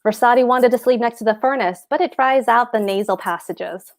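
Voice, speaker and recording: A woman's voice: fairly high pitch, fairly quick, natural voice. A woman reading the part of a pompous, pedantic husband and petty official in a stage comedy table read. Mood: pompous, smug. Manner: pompous, emphatic, precise.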